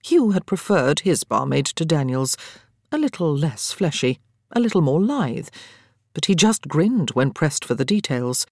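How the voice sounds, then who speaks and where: A woman with an English accent reads literary narration for an audiobook in a measured, wry storytelling manner. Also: low-pitched, sing-song, a little mellow, dry recording, studio quality.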